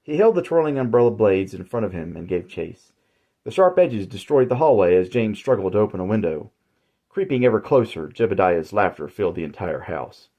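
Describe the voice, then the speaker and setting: A man narrating his own horror story in a measured, deliberate way. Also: on the low side, sing-song, highs cut off. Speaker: author and narrator.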